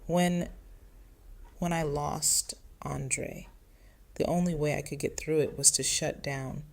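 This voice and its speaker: A woman's voice: sits low, fairly even intonation, slow, gravelly, darkish tone, full character voice. An actress playing a resilient, weary widowed mother who speaks frankly in an audio drama. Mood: grieving.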